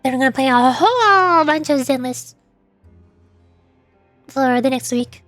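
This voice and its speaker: A woman's voice: sits high, lively intonation, unhurried, fairly bright tone, no room sound, soft background music. A woman livestreamer chatting casually, rambling and often interrupting herself, her voice starting to tire. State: voice starting to get worn out. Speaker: VTuber livestreamer.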